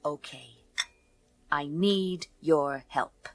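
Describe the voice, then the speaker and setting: Tough, wry space detective. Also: female voice, low voice, extremely slow, no echo. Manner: clipped, sardonic noir delivery. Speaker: lead narrator. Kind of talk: first-person radio play narration.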